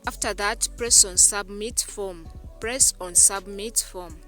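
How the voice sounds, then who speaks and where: Woman, bright and forceful, repetitive tutorial talk, faint music behind. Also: mid-range voice, slow. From Uganda. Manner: repetitive, keeps addressing viewers as "guys". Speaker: YouTube channel host. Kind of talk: screen-recorded tutorial voice-over.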